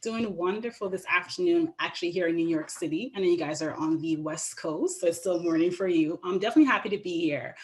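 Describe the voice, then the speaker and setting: A woman's voice: fairly low pitch, fast, hardly any pauses, a little mellow, rough recording. A young woman in her twenties from Jamaica introducing herself on a panel over a video call, upbeat and earnest. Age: 20s.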